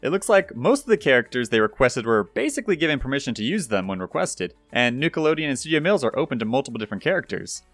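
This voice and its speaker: A man's voice: mid-range voice, big pitch swings, quick pace, few pauses, a bit bright, no echo. A young adult man narrating gaming news in a scripted, upbeat presenter style, addressing viewers directly. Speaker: YouTube gaming news host. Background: background music.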